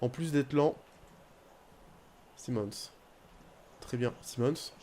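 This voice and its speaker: A young man commenting casually on his football video game career, rambling, with bursts of excitement. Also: medium pitch, slow. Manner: chatty, rambling, bursts into excited shouting at goals. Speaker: gaming YouTuber.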